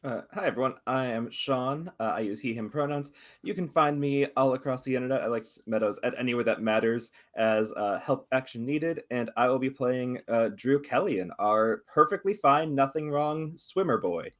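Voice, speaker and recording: A man player introducing himself in a halting, wry way. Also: medium pitch. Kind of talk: casual self-introduction.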